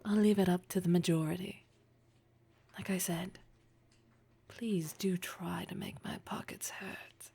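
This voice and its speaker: Woman acting a slow, husky, flirtatious lady boss. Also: lowish voice, fairly even intonation, dark tone, heavily acted. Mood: flirtatious, commanding. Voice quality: breathy, husky. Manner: slow, deliberate, breathy, teasing formality. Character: poised, teasing, wealthy female boss, flirtatious and dominant. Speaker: audio roleplay performer. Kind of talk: intimate flirtatious audio roleplay acting.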